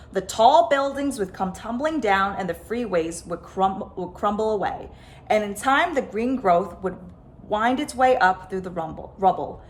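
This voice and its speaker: A woman reading a children's picture book aloud as a storyteller, with dramatic, expressive phrasing. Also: mid-range voice. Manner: dramatic, stressed sound words and phrases. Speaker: storyteller, host of a story time.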